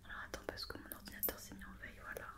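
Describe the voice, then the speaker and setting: Whispering ASMR woman. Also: very fast. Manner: soft, repetitive, reassuring instructions. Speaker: ASMR roleplayer playing an occupational doctor.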